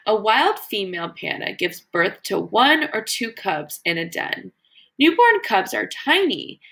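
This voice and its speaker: A woman teacher doing an educational read-aloud for children, explaining as she reads and pausing to wonder aloud and ask questions. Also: mid-range voice, gravelly, fairly bright tone. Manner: explanatory, pauses to wonder aloud and pose questions to young listeners. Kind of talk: educational read-aloud of a children's nonfiction book with think-aloud comments.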